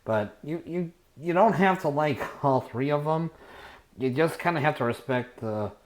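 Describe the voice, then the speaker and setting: A man telling a rambling personal story casually, halting as he searches for words and trails off. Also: on the high side, lively intonation. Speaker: storyteller, show host.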